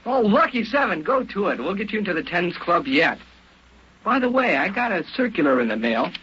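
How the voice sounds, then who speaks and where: An actor playing a breezy, encouraging veteran hunter in an old-time radio drama. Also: male voice, sits high, very animated, a little fast, pushed and bright, a little hiss or hum, full character voice. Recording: muffled vintage radio recording.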